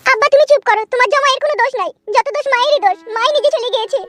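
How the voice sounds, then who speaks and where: Very shrill young village daughter played by a woman. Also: rapid-fire, a bit bright. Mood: insistent. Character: young village daughter, scolding and consoling her father. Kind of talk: comic village cartoon dialogue.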